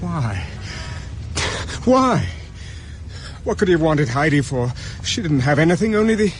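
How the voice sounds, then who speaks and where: A man's voice: fairly high pitch, very animated, measured pace, soft background music. An actor playing a distraught, hunted young Englishman in a radio drama, urgent and stammering. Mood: shocked, desperate. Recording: faint noise and ambience.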